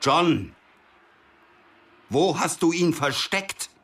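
A man's voice: on the high side, animated, extremely slow, a bit bright, exaggerated voice. An actor playing an urgent, distrustful interrogator in a radio drama, protective underneath as he pushes with short questions. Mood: urgent, reproachful. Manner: pressing short questions, drops to whispers. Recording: music behind the voice.

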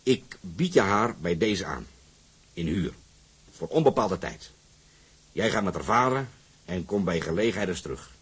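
An actor playing a commanding, shrewd old skipper in a Dutch period drama, speaking gruffly with hesitations and throat-clearing. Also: male voice, deep voice, expressive, a little slow, long pauses, full character voice. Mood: gruff, businesslike. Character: commanding old skipper, shrewd but generous boat owner.

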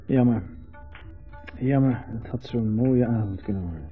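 An actor with a Netherlands Dutch accent playing a curt, grumbling loner in a radio drama. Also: male voice, mid-range voice, unhurried, faint music behind, lightly acted. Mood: glum. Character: gruff, terse, reclusive man living on an island. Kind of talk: 1970s radio drama acting. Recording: dull old recording, loud background noise.

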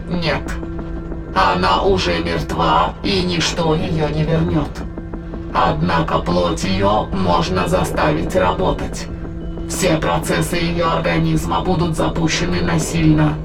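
An actor playing a cold, detached alien entity that inhabits a human body, speaking in measured, formal phrases with a gravelly voice in an audio play. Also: male voice, a little high, full character voice. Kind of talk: dramatic audio play acting. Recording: voice under prominent music.

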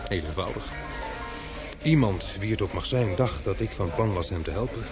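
An actor playing a courteous, inquisitive man in an old-fashioned radio play, speaking in a measured, gently probing way. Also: fairly low pitch, lively intonation, music in the background, lightly acted. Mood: grave. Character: courteous, inquisitive man caught up in murder intrigue.

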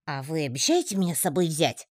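A woman's voice: mid-range voice, very animated, darkish tone, dry recording, clean studio sound, pitched above the performer's own voice. A woman voicing a tiny, lonely male spider who talks in a plaintive, pleading way for an audiobook. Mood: eager. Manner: plaintive, pleading, quick to take offence. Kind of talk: audiobook reading.

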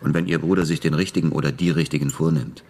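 An actor playing a cynical, flippant American war veteran in a German radio crime drama, speaking in a laconic, matter-of-fact way. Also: male voice, very deep voice, steady tone, fast talker, darkish tone, breathy edge, full character voice. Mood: matter-of-fact, persuasive.

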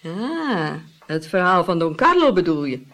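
A woman's voice: on the low side, very animated, unhurried, fairly bright tone, exaggerated voice. A woman playing a hearty, gossipy Italian innkeeper who relishes telling scandal in a Dutch radio play. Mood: chatty, confiding. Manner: theatrical, relishing storytelling with exclamations. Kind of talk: Dutch radio drama acting.

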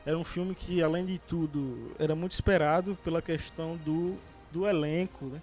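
Man, podcast host, slightly high voice, halting speech full of fillers, faint music behind. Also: steady tone, darkish tone. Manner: hesitant, many fillers and restarts. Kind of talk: casual movie chat.

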